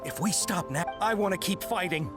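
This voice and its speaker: An actor voicing a zealous young soldier in a video game, earnest, formal and deferential to a superior. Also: male voice, high-pitched, animated, moderate pace. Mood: impassioned, pleading. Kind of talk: scripted video game character acting. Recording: prominent music behind the voice.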